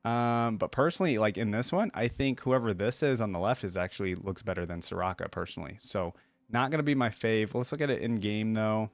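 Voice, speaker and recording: A man's voice: on the low side, fairly quick, dry recording. A man hosting a reaction video, giving casual, chatty commentary and thinking aloud in an informal way.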